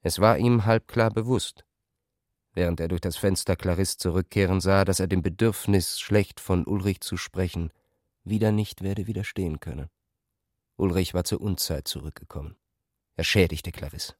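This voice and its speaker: A man's voice: low voice, normal speed, darkish tone, dry recording, clean studio sound. A man reading scripted literary narration for a radio production.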